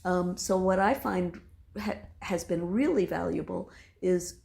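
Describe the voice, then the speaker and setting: A woman guest in a casual chat, speaking in a measured, thoughtful way as she gives advice. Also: fairly low pitch, gravelly, a little mellow.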